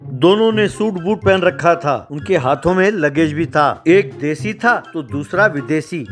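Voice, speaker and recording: A man's voice: fairly high pitch, lively intonation, unhurried, faint music behind. A man narrating a scripted comic story as the storyteller.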